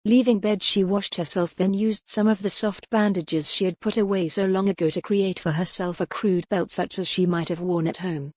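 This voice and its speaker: A woman's voice: medium pitch, fairly even intonation, moderate pace, few pauses, a little mellow, dry recording, studio quality. A woman reading scripted fiction as a dramatic audiobook narrator.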